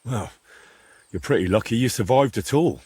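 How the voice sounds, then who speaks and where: An actor playing a plain-spoken young medieval adventurer in a video game, husky and blunt in his questions. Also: mid-range voice, expressive, normal speed, a little mellow, no room sound. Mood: wry. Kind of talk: game cutscene dialogue.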